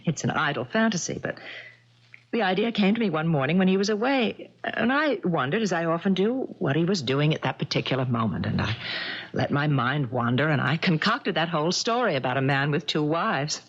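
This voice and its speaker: A muffled vintage radio recording carries a haughty, successful lady novelist. Her voice is low and husky, with sweeping, animated intonation and a poised, condescending air suited to old mystery drama. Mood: airily condescending. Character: haughty, well-off married woman, successful novelist. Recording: dull lo-fi old radio broadcast.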